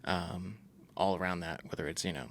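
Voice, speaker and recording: A man's voice: deep voice, little pitch movement, fairly quick, vocal fry, a bit bright. A young man co-hosting a podcast, speaking earnestly and thoughtfully about faith and habits, with frequent fillers. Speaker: podcast co-host. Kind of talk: casual faith talk.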